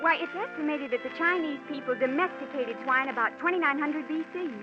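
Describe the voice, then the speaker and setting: Actress as proud young woman pig breeder, high voice, earnest, lecturing, vintage radio drama, music behind. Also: brisk, highs cut off, natural voice. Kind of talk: 1940s radio acting.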